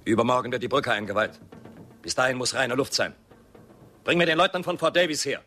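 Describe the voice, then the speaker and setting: A man's voice: medium pitch, fairly quick, sharp tone, close to an everyday voice. A male actor playing a ruthless, cynical Western boss giving commands in a German radio drama. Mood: cold, commanding.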